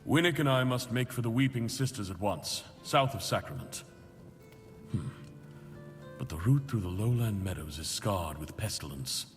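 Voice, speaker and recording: Low male voice, acted, grave burdened leader, fantasy game, formal, measured, solemn, long reverb. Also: expressive, soft background music, lightly acted. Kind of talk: fantasy video game dialogue.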